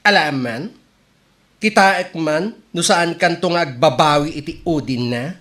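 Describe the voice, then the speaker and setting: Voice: medium pitch, measured pace, fairly bright tone, lightly acted. A performer playing a gossipy, meddling friend in an Ilocano radio comedy drama, with an Ilocano accent, drawling and tossing in English interjections. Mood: nagging, warning.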